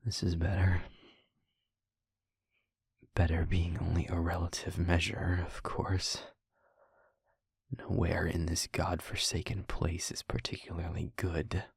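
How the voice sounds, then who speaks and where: A man playing a weary, brooding but protective demon in an intimate audio roleplay, speaking in a husky half-whisper with halting, formal phrasing. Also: low-pitched, no room sound, studio quality, exaggerated voice. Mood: weary, gently urging. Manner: slow, halting, formal phrasing with heavy pauses. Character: weary, brooding male demon, gravely guarded yet protective.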